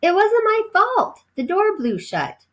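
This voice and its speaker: A woman's voice: very high-pitched, wildly swooping pitch, slow, fairly bright tone, voice pushed up, full character voice. Woman reading as a small, eager, indignant boy mouse. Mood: indignant, defiant. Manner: indignant, complaining, wheedling. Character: Ralph, small eager pleading young male mouse.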